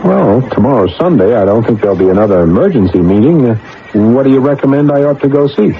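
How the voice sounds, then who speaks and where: An actor in a radio drama playing and narrating as an anxious, easily flustered government adviser. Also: male voice, medium pitch, expressive, fast, slight background noise, close to an everyday voice. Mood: uneasy, weary. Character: anxious, flustered male government adviser bluffing through his job. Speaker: protagonist and narrator. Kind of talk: radio drama acting and first-person narration. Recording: muffled old radio broadcast with music.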